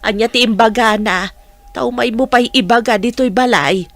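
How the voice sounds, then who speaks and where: A woman acting a nosy, blunt village mother in an Ilocano radio comedy drama, with a probing, animated manner and an Ilocano accent. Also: on the high side, normal speed. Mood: curious, explaining.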